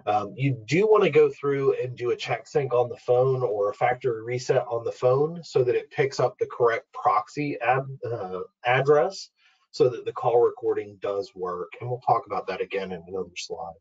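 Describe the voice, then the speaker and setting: A man presenting an informal, step-by-step software walkthrough in an explanatory, instructional way, as a webinar trainer. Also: medium pitch, normal speed, husky, lo-fi sound. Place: online webinar screen-share.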